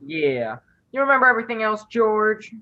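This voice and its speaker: A woman's voice: mid-range voice, sing-song, moderate pace, bright, forceful tone, dry recording. A woman playing a curt, commanding male criminal in a suspense radio play, heard through dull, narrowed sound. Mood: curt, controlled. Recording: dull, band-limited sound.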